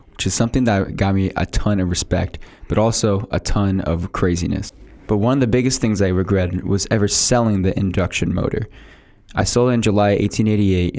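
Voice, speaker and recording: A man's voice: low-pitched, a little mellow. A man playing a boastful male inventor of the 1800s, reading a prepared script aloud in a school podcast role-play.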